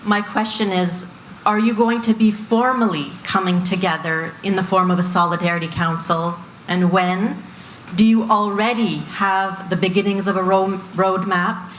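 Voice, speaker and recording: A woman's voice: mid-range voice, fairly even intonation, moderate pace. Effusive woman in an echoey hall. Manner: warm, effusive praise before questions. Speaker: audience member asking a question. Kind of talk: audience question at a panel. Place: conference hall audience. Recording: echoey hall, faint room noise.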